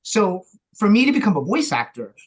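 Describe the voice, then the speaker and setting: A man talks casually as a podcast guest. His voice is somewhat high for a man, and he explains himself earnestly and with emphasis. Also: very animated, brisk, slightly rough. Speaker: podcast guest, actor and director.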